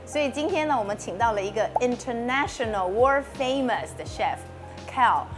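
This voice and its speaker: A woman host, lively and laughing, interviewing a chef. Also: a little high, normal speed. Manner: lively, laughs often, mixes Mandarin and English. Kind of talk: casual interview. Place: commercial kitchen butchery room, indoors. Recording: music bed under the voice. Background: background music.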